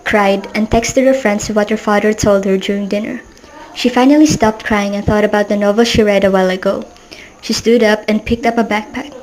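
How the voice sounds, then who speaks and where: A young woman narrating an amateur radio drama in an earnest, steady way. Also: mid-range voice, rough recording. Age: young adult. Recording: background music.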